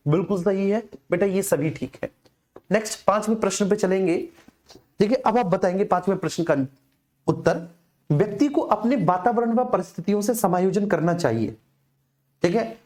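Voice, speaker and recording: A man's voice: high voice, darkish tone. A man teaching an exam coaching class informally, explaining repetitively and addressing his students directly.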